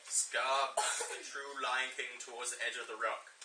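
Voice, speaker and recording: Guy reading a kids book out loud, super bright voice, echoey room, bit far from the mic. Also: mid-range voice, fairly even intonation, normal speed. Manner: dramatic, jokey, mock-teacherly asides. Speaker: reader of a children's storybook. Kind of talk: playful read-aloud to friends. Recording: echoey room, distant mic, faint noise.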